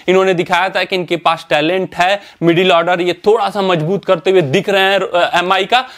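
A young man with a high voice talks through a cricket team lineup in front of a screen. He explains step by step, pausing on fillers and correcting himself as he goes. The sound is from an indoor studio. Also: fairly bright tone. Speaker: cricket news presenter. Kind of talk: cricket analysis talk to camera.

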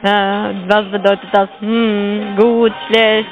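A woman's voice: mid-range voice, level tone, slow, highs cut off, faint music behind. A woman making a scam sales call on the phone, pushing with repeated questions in broken grammar. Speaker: scam caller.

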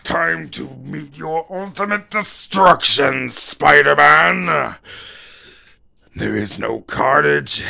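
A man voicing a menacing, gloating comic-book monster villain with a raspy snarl and drawn-out, theatrical taunts. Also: medium pitch, sharp tone, full character voice. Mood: gloating, furious.

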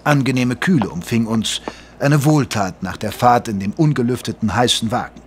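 Audio drama narrator male actor: wry gentleman doctor, medium pitch, measured and literary. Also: slight background noise, lightly acted. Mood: sombre narration. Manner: measured, literary, dryly amused. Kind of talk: scripted first-person narration in German audio drama.

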